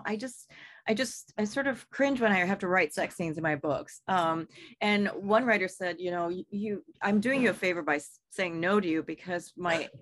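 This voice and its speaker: A woman from Singapore speaks as an author in an interview. She is chatty, fills her talk with ums and restarts, and laughs easily. Her voice sits in a middle pitch. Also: a bit bright. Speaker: author and editor. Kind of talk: interview conversation.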